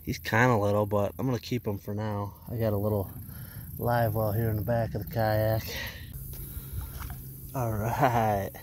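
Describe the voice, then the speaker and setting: A man's voice: fairly low pitch, level tone, unhurried, long pauses, slight background noise. A man in his thirties casually narrating a fishing trip, folksy and chatty, out on a lake in a kayak. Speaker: fishing vlogger. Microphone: action camera.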